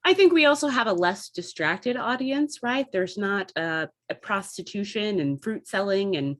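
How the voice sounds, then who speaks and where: A woman's voice: mid-range voice, animated, normal speed. A woman speaking in an enthusiastic, conversational way as a guest theatre artist in a casual panel discussion.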